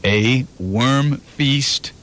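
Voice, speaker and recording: A man hosting a morning radio show, joking and telling stories in quick banter. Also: medium pitch, a bit bright. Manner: storytelling, playful, slips into voices. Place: radio studio. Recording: 1991 FM radio aircheck.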